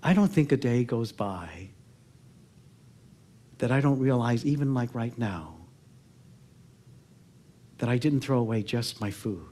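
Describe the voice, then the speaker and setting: An American man in his sixties gives a personal storytelling talk, reflective and earnest, pausing and letting phrases trail off softly. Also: medium pitch, animated. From Oakland, California, United States. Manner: reflective, earnest, with pauses and soft phrase endings. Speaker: public speaker. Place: theatre stage before a seated audience.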